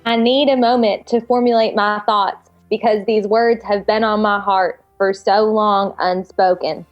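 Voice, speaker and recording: A woman plays a seventeen-year-old daughter in a screenplay parody. She is melodramatic and pleading, halting over her words, with a mid-range pitch and a slightly muffled recording over music. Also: steady tone, lightly acted. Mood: overwrought.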